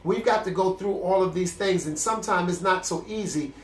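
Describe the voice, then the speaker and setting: A man's voice: sits high, fairly quick. Congested earnest man in an echoey room. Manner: earnest, preacherly, with frequent restarts. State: congested, with a cough. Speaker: host of a celibacy video series. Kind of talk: candid faith talk to camera.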